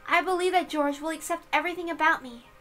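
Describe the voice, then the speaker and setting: A woman's voice: very high-pitched, fast talker, bright, forceful tone, faint music behind, pitched above the performer's own voice. A woman voicing an earnest, insistent young female servant with a big-sisterly air, in a visual novel reading. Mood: earnest, hopeful. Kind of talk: visual novel read-aloud character voice.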